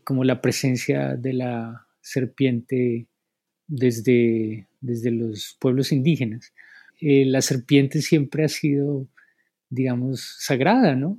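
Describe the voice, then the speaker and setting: A man's voice: medium pitch, mellow, no room sound. A man who runs writing workshops, speaking hesitantly with many fillers. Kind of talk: conversational interview answer.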